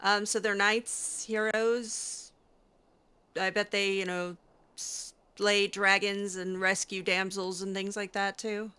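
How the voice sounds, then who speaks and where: A woman roleplays a young circle mage in a fantasy game, with a crisp, bright voice at a medium pitch. She is curious and wryly skeptical, speaking hesitantly and casually as she thinks aloud. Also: little pitch movement. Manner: hesitant, conversational, halting asides. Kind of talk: improvised video game roleplay.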